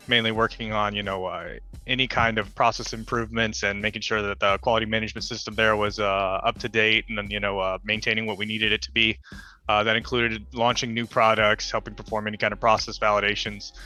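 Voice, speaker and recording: Man, low voice, bright forceful tone, panel intro with fillers. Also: fairly quick, soft background music. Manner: steady, with frequent uhs and ums. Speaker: mentor panelist. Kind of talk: livestream Q&A self-introduction. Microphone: video call.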